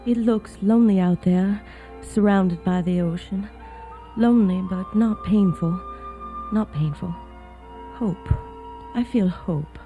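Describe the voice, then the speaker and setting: Actress as a wistful young wife, very muted tone. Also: female voice, mid-range voice, slow, dark tone, muffled recording, soft background music, natural voice. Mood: wistful hope. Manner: hesitant, polite, restrained. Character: weary, reserved, wistful young Southern wife. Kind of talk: period radio drama acting.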